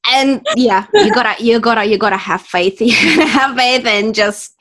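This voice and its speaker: Woman host, non-native English speaker, upbeat casual interviewer, higher voice. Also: a little slow, a bit bright. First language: not English. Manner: encouraging, frequent fillers and restarts.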